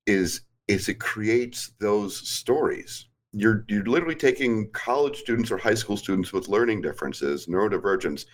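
A man in his forties in casual conversation, reflective and rambling, with frequent "like"s. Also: on the low side. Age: 40s. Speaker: podcast guest. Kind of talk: casual chat.

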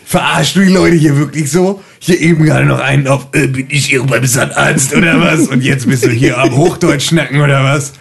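A man playing an excitable, slangy guy who stammers and repeats himself in an improvised tabletop role-play. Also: mid-range voice, a little fast, creaky, hardly any pauses, close to an everyday voice. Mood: indignant. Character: excitable, colloquial man named Rudi, flustered by calls.

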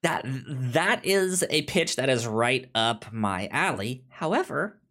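A man co-hosting a podcast in casual chat, animated, with halting, repetitive phrasing. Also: mid-range voice. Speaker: podcast co-host.

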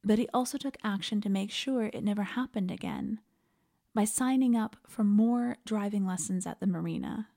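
A woman minister delivering a reflective scripted sermon in a measured, earnest way. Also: mid-range voice, vocal fry, dark tone. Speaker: minister preaching, podcast host.

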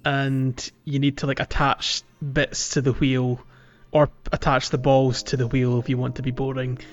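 A man's voice: medium pitch, flat delivery, moderate pace. A man co-hosting a video game podcast in a casual, chatty style. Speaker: podcast co-host. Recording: dull lo-fi with background music.

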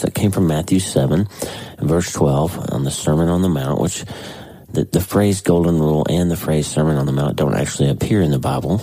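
Very deep, congested, husky man. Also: dark tone, no room sound, low-quality recording. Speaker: podcast host. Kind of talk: casual devotional talk.

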